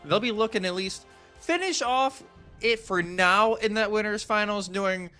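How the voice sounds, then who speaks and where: A man's voice: high-pitched, moderate pace, sharp tone, smooth. A man doing conversational live esports analysis as a commentator, explaining things in a casual way. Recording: music behind the voice. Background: music.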